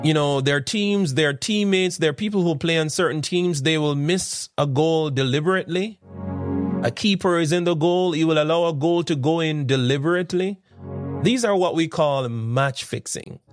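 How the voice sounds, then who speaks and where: Man preaching over instrumental music. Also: on the high side. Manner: repetitive, rhetorical questions, builds points by listing examples. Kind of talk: morning devotional sermon.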